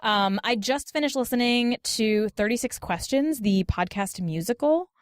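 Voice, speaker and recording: A woman's voice: a little high, expressive, normal speed, smooth, no echo, clean studio sound. A woman in her thirties chatting casually as a podcast guest, acting out little exchanges and often saying "like". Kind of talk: casual panel chat.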